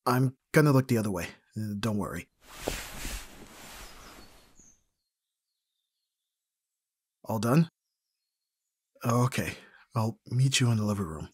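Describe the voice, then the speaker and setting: A man acting an awkward, flustered young best friend in an ASMR roleplay, with a breathy, husky voice and hesitant, stammering delivery. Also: on the low side, animated, normal speed, no room sound, clean studio sound. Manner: hesitant, stammering, often dropping to whispers.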